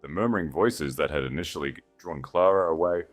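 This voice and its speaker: A man's voice: rumbling low, brisk, soft background music. A man narrating a scripted story in a dramatic storytelling style.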